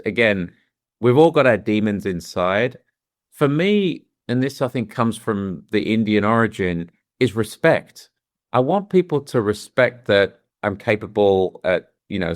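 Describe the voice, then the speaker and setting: A deep-voiced, middle-aged British man talks things through with candour, pausing and restarting to find his words. His voice is smooth and resonant, and the recording is close and dry. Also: animated, dry recording. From London, England. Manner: thoughtful, with frequent restarts and "you know"s. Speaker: guest. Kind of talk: candid reflective conversation.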